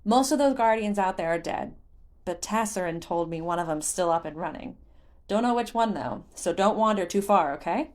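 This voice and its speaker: A woman voicing a friendly, theatrical male merchant in a fantasy story, with a casual, animated manner. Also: lowish voice, normal speed, close to an everyday voice. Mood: concerned. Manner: casual, animated, playfully dramatic.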